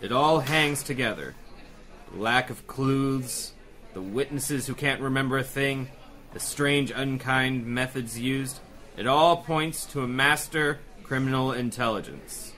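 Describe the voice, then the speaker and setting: A man's voice: medium pitch, a little slow, pushed and bright. An actor playing a self-assured male police commissioner, in 1930s-style radio drama acting. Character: confident male police commissioner, brisk and sure.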